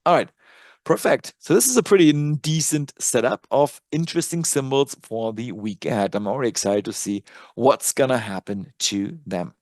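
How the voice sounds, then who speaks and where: A man who is a native German speaker talks through stock charts live in a medium-pitched voice. He is conversational and thinks aloud as an analyst would. The sound is dry, with very little room in it. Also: expressive, normal speed, darkish tone, dry recording. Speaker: trading analyst and presenter.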